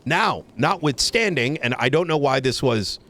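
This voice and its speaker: A man's voice: mid-range voice, lively intonation, moderate pace, sharp tone. Blunt, swearing police chief, played by a man. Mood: firm, insistent. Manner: argumentative, blunt, casual swearing. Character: blunt, foul-mouthed male police chief negotiating a deal. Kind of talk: improvised roleplay phone argument.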